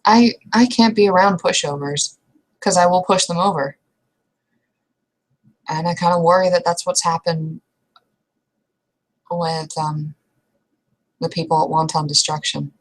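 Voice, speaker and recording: A young woman with a slightly low voice talks through an improvised roleplay scene. She speaks casually and haltingly, restarting sentences and trailing off as she goes. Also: low-quality recording. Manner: casual, hesitant, trailing restarts with mild swearing. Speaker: roleplay player. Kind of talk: improvised in-character roleplay conversation.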